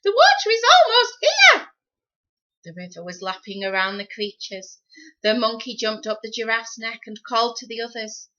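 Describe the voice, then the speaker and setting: A woman reading a children's story expressively, with deliberate, dramatic phrasing. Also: on the high side, sharp tone. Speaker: storybook reader. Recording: slightly dull, lo-fi sound.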